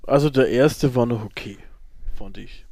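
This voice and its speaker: A man's voice: mid-range voice, normal speed, a little mellow, no echo, studio quality. A man co-hosting a podcast in informal chat, speaking in short bursts with joking asides. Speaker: podcast co-host.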